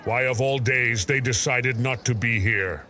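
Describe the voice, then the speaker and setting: A man's voice: mid-range voice, unhurried, pushed and bright, pitched above the performer's own voice. A man voicing a bitter, resentful agitator haranguing a crowd in an audio drama. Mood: bitter, inciting. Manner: bitter, rabble-rousing. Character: broad-shouldered male rebel agitator haranguing crowds, resentful.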